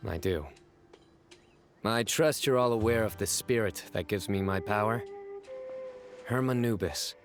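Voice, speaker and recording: A serious, reserved young lawman from a video game, acted by a man. He has a low voice and a measured, formal, deadpan delivery. Music plays clearly behind him. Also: natural voice. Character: stern, reserved young male desert lawman, serious and weary.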